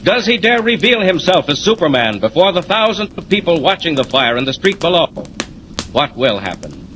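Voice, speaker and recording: A male announcer delivers scripted 1940s radio narration. His voice is bright and forceful with dramatic, sweeping pitch. A faint noise sits under the old recording. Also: very animated, normal speed, poor audio. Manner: dramatic, urgent.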